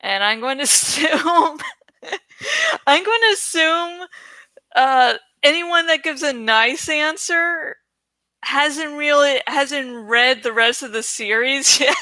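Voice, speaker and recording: A woman's voice: sits high, expressive, slow, sharp tone, no echo. A woman host chatting in a rambling, informal, giggly way about a book, swearing freely. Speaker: host of a book read-along channel.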